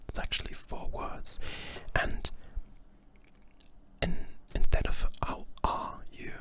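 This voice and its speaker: A German-accented native German speaker teaching a language lesson in English, speaking in a soft, patient whisper throughout. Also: slow.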